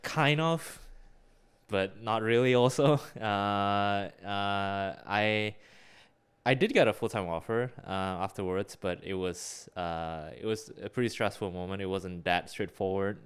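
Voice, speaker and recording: A man's voice: fairly low pitch, a little slow, clean tone. A young man from Singapore co-hosting a casual podcast, talking with many fillers and restarts. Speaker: podcast co-host.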